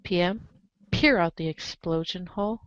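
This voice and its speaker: A woman narrating a comic story aloud in an expressive read-aloud style, on a slightly dull recording. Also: lowish voice, normal speed, no room sound. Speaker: narrator of a group webcomic reading.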